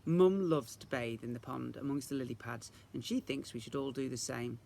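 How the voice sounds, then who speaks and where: A man reading a children's story aloud in the expressive style of a teacher reading to young children. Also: mid-range voice, normal speed, creaky, a little hiss or hum.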